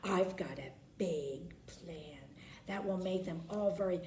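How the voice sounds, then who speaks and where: A woman's voice: on the low side, slow, mellow. A woman voicing a bratty, boastful monster girl in a children's storybook, with a self-important, wailing and hissing manner. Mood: scheming hiss. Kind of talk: animated children's storybook character voice. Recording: roomy, echoing sound.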